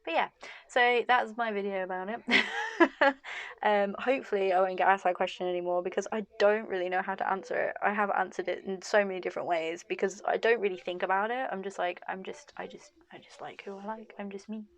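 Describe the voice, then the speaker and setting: A woman's voice: medium pitch, fairly quick, fairly bright tone. A woman vlogger chatting frankly to camera, laughing often and losing her voice a bit. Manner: frank and chatty, with frequent laughs and "like, you know" fillers. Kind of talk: casual talking-to-camera vlog.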